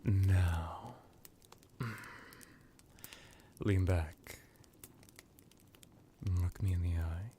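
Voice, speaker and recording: Man acting a slow, deep, domineering vampire hypnotist. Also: steady tone, warm tone, slight background noise. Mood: seductive, hypnotic. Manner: slow, purring, deliberate, with murmurs and whispers. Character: seductive, domineering, menacing male vampire necromancer hypnotist. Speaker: ASMR roleplay performer. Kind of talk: ASMR hypnosis roleplay, intimate monologue.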